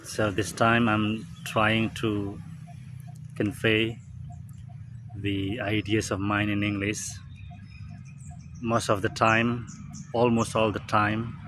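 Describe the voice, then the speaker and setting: A man in his forties whose first language is Indonesian, speaking slowly and reflectively with many pauses and fillers, outdoors under a tree. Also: fairly low pitch, level tone. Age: 40s. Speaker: vlogger. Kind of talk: reflective talk to camera. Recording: clear outdoor background noise. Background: birds, traffic and outdoor ambience.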